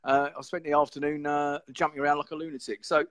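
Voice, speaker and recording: A man, hoarse and croaky, hosting a casual live stream show and chatting in a rambling way. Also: on the high side, flat delivery, fast talker. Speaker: podcast host.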